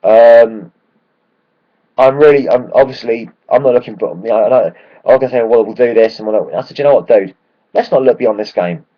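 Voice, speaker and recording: A man, hoarse from shouting at a match, ranting excitedly and swearing freely in a casual football vlog. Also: mid-range voice, a little fast, dull audio. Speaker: football fan vlogger.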